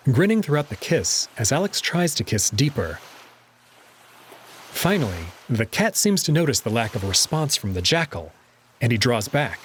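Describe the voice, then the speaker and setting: A man's voice: medium pitch, fairly bright tone, a little hiss or hum. A man reading scripted first-person narration from a visual novel. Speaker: narrator and reader.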